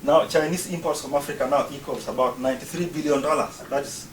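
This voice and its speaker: A man's voice: fairly high pitch, fast, slight background noise. Ugandan man explaining, roomy and distant. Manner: explanatory, lists points one by one. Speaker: speaker. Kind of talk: prepared talk. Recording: roomy, distant mic, faint ambience.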